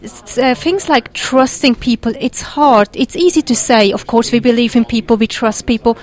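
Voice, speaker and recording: Swiss woman, earnest and measured. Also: a little high, a little mellow. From Switzerland. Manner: earnest, measured, frequent "um" fillers. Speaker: podcast guest, agile HR consultant. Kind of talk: conversational interview.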